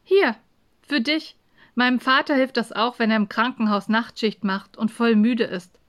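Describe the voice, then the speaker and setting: A woman's voice: a little high, fairly even intonation, moderate pace, a bit bright, close to an everyday voice. A woman voicing a kind, bashful, impulsive young schoolboy in a children's story, with a casual, offhand manner.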